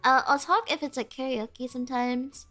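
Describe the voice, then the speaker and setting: A woman's voice: sits high, normal speed, bright, forceful tone, faint music behind. A young woman streaming and chatting casually with her viewers, bubbly and giggly, full of "like" fillers, with a stuffy, sniffly nose.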